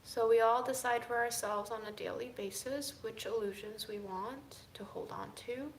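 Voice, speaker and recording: A tired woman vlogging casually to camera, musing in a drifting way that moves between soft and emphatic. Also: medium pitch, echoey room, slight background noise, poor audio. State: fatigued. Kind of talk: casual reflective chat to camera.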